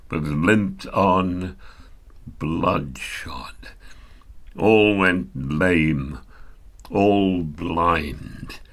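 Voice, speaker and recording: A man giving a grave, dramatic poetry recital with deliberate phrasing and long pauses. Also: very deep voice, creaky, a bit bright. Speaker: poetry reader.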